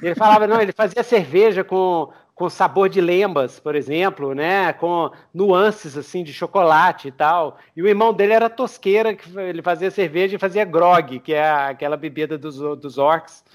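A Brazilian man chatting casually as a podcast host, telling stories in an animated way. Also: high-pitched, normal speed, few pauses. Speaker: podcast host, game master.

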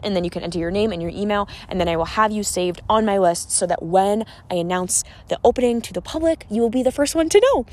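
A woman's voice: mid-range voice, a little mellow. An American woman from the Boston area hosting a casual podcast on her own, talking in a gushing, emphatic, informal way like a motivational coach. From Boston area, eastern United States. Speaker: podcast host and confidence coach. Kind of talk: casual solo podcast monologue with motivational pep talk.

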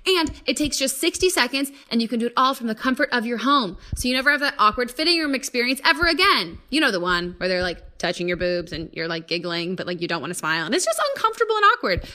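A woman hosting a podcast in a playful, conversational way. Her voice is bright and fairly high. Her pitch moves around a great deal as she chats informally and slips in casual asides. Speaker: podcast host. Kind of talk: casual chatty podcast talk and host-read ad.

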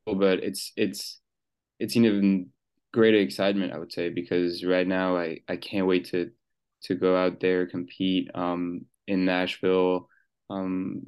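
A man's voice: low-pitched, a little mellow, smooth. A young man from Switzerland, a new pro soccer player, answering interview questions casually with many fillers and restarts. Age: young adult.

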